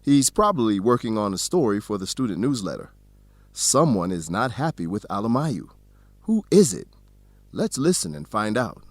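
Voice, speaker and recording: A man hosting and narrating an educational radio drama in clear, simple phrases addressed directly to listeners. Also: lowish voice, very animated, moderate pace, a little hiss or hum. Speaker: narrator and host. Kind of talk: scripted narration for English learners.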